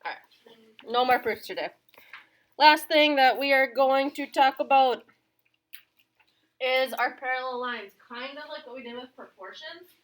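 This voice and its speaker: A woman's voice: sits high, unhurried, pauses often, fairly bright tone. A woman teaching a geometry class, upbeat and coaxing her students with questions. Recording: echoey classroom, mic at a distance.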